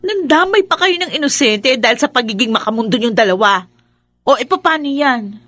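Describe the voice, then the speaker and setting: A woman's voice: high-pitched, lively intonation, moderate pace, a bit bright, no echo, soft background music. An actress playing an exasperated, sarcastic woman who scolds and nags in a Tagalog radio drama. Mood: indignant. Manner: nagging, sarcastic.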